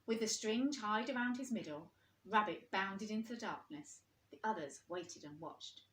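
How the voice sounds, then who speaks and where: A woman's voice: mid-range voice, normal speed, a bit bright. A woman reading a storybook aloud to young children like a teacher, expressively and with questions for her listeners. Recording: roomy, echoing indoor sound.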